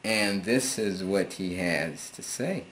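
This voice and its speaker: A man's voice: low voice, takes it slowly, fairly bright tone, a little hiss or hum. A middle-aged American man reading viewer comments aloud and answering them in a laid-back, amused and sarcastic way. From United States. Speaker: vlogger.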